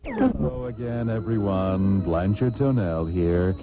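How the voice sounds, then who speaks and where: A man's voice: lowish voice, sing-song, slow, over loud music, exaggerated voice. A man playing a grandly earnest host, narrating a comedic radio serial in a mock-solemn, theatrical style. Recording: tinny, narrow old radio tape.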